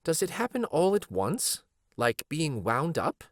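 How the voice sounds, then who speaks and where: A man's voice: fairly high pitch, very animated, unhurried, dry recording, clean studio sound, pitched above the performer's own voice. A man voicing a small, naive, curious toy rabbit in a storybook, asking questions with hesitant wonder. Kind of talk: storybook character voice.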